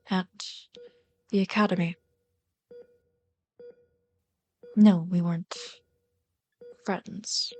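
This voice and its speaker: A woman voicing a bitter, yearning female villain in an intimate ASMR roleplay, brooding and dramatic, slipping into breathy whispers. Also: medium pitch, close to an everyday voice.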